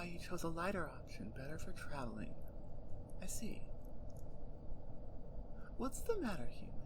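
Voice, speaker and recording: Woman as a wary, soft-spoken wolfgirl, noisy backdrop. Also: on the low side. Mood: curious. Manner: blunt, questioning, puzzled by human words. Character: wary, menacing young female wolfgirl huntress, curious. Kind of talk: ASMR roleplay, intimate close delivery.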